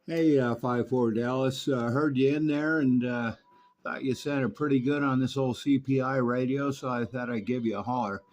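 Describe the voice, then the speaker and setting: An older man reviewing a vintage CB radio in a relaxed, knowledgeable, folksy manner, also chatting on air as a radio operator. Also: mid-range voice, normal speed. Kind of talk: technical review narration and on-air radio chat. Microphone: stock desk microphone on the radio.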